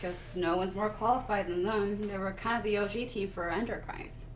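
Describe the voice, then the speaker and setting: A woman's voice: medium pitch, fairly even intonation, moderate pace, a little hiss or hum. A woman reading her part as an actor at a cast table read. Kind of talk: script read-through. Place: indoor room at a group table read. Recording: echoey room, far from the mic.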